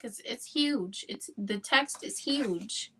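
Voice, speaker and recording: Bright-voiced woman in casual book chat. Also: fairly high pitch, expressive, takes it slowly, breathy edge, poor audio.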